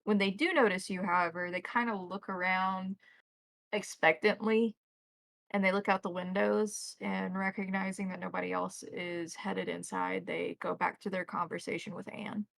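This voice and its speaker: A woman narrating a tabletop roleplaying game as game master, describing scenes in deliberate detail. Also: medium pitch, little pitch movement, creaky, a bit bright, no echo.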